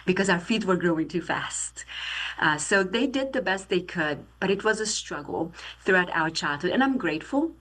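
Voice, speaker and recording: A middle-aged woman from Romania with a Romanian accent, telling her life story as a guest in an earnest, steady way. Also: lowish voice, normal speed. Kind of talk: testimony interview.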